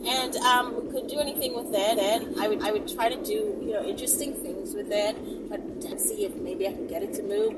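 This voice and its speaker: A woman hosting a casual vlog, chatting in a rambling, playful and bossy way, as if giving viewers instructions. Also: high-pitched. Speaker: vlog host. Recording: very noisy, distant mic, vehicle ambience.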